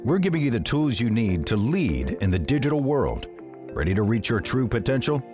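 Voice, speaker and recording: A man's voice: mid-range voice, very animated, moderate pace. A man announcing a podcast in a scripted promotional intro style. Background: loud music.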